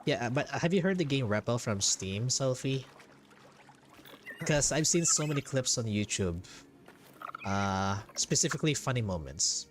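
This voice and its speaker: A man with a Filipino accent chatting casually as a game streamer, haltingly and often repeating his phrases, over game music. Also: medium pitch, expressive, a little hiss or hum. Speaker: game livestreamer. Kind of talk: casual livestream chat. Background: video game music.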